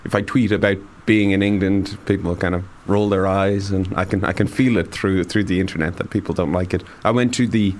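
An Irish man speaking as a guest, stumbling and restarting his sentences as he talks. His voice is lowish, with faint room ambience behind him. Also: steady tone, fairly quick, hardly any pauses, a little mellow, a little hiss or hum. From Ireland. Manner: hesitant, stammering restarts. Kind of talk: casual panel chat.

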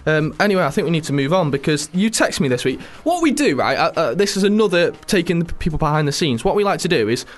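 A man's voice: a little high, very animated, fast, hardly any pauses. A man with a Stoke-on-Trent accent, hosting a radio show and teasing his co-host in casual chat. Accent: Stoke-on-Trent English. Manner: teasing, chatty.

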